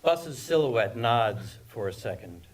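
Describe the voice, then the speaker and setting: A man's voice: mid-range voice, expressive, takes it slowly. A man narrating scripted stage directions at a screenplay table read, picked up from a distance. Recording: distant mic with background noise.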